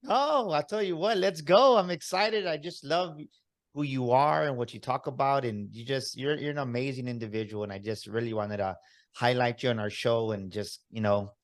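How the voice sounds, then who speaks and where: A man's voice: mid-range voice, normal speed. Hyped-up man hosting a podcast. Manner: hype-man energy, enthusiastic and emphatic. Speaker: podcast host. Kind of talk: upbeat motivational podcast interview.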